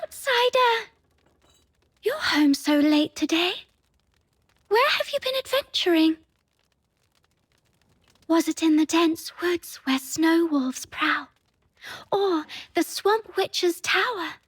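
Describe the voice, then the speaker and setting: A woman voicing a gentle, frail, imaginative young girl in a video game, with soft, wondering speech. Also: very high-pitched, animated, slow pace, pauses often, no echo, heavily acted. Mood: eager, curious. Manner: soft, wondering, storybook-like phrasing.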